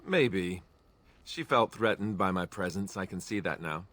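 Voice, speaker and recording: An actor playing a wry, self-deprecating young male warrior in a video game, sarcastic and quick to deflect with jokes. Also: low voice, lively intonation, a bit bright, full character voice. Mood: regretful. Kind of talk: video game character dialogue.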